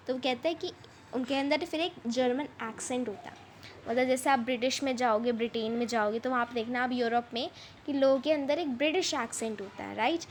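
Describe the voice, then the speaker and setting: High-voiced woman explaining a story in Hindi. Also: a little hiss or hum. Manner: reads English text slowly, then explains it in Hindi at length; repeats and rephrases explanations. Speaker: teacher. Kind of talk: English lesson explained in Hindi.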